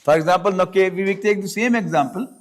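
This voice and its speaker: A male Arabic teacher, not a native English speaker, giving a classroom lecture slowly and deliberately, repeating points and checking understanding. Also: high-pitched. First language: not English; Urdu is his second language.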